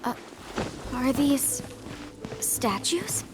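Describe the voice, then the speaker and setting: A woman's voice: high-pitched, lively intonation, drawn-out words, music in the background, exaggerated voice. An actress playing an earnest, principled young woman scholar in a video game, with an emphatic, proper way of talking. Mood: curious. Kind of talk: scripted video game dialogue.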